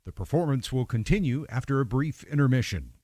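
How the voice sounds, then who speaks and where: A man's voice: mid-range voice, expressive, fairly quick, warm tone, no room sound, studio quality. A man giving a formal scripted announcement as a radio announcer.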